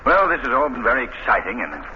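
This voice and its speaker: An actor playing an urbane, composed but bold gentleman, polite and articulate with firm emphasis, in 1930s radio style. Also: medium pitch, big pitch swings, quick pace, close to an everyday voice. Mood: calm reasoning. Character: urbane gentleman visitor, composed, then boldly defiant. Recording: scratchy, muffled 1930s radio recording.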